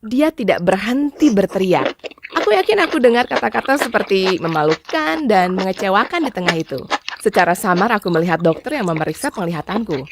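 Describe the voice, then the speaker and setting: A woman's voice: medium pitch, big pitch swings, a little fast. A woman narrating a scripted first-person story in a dramatic storytelling style. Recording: clearly noisy background with clicks.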